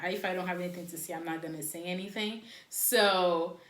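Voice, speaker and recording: A woman in her twenties co-hosting a podcast, talking softly and hesitantly with many fillers. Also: lowish voice, fairly even intonation, a little fast. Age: 20s. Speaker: podcast co-host. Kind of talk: casual chat. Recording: echoey room.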